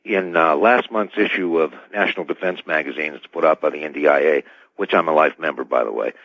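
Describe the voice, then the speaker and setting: An American man speaking as an expert guest in a radio interview, with a halting, hedging delivery. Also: a bass voice, fairly bright tone, no echo. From United States. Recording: dull band-limited radio line.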